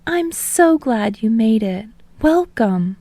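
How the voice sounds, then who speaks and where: A woman's voice: fairly high pitch, animated, slow, dark tone, no room sound, exaggerated voice. A woman voicing a wealthy, polite young lady who plays the eager, welcoming hostess in an audiobook story. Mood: gracious, welcoming.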